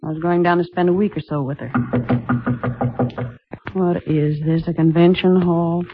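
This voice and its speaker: A woman's voice: on the low side, fairly even intonation, moderate pace, soft background music, natural voice. Woman playing a tough, defiant dame, muffled recording. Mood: defiant, irritated. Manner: brusque, sardonic. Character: tough, slangy, defiant woman under police suspicion. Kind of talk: 1950s radio drama acting. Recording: muffled old radio recording.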